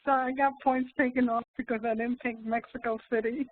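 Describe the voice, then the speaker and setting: A woman student speaking casually and haltingly as she takes part in an online help session. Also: on the high side, level tone. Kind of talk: casual hesitant spoken question. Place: online web conference. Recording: thin phone-like call audio.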